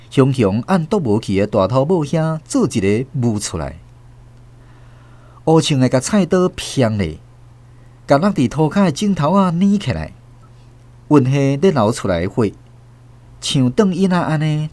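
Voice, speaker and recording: A man's voice: medium pitch, darkish tone. A man with a Taiwanese accent narrating a scripted story dramatically as a radio host.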